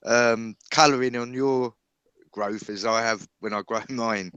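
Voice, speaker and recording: Bright, dry-sounding chatty man. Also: mid-range voice, fairly even intonation, smooth, no room sound, dull audio. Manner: chatty, quick to ask questions. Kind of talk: casual group chat.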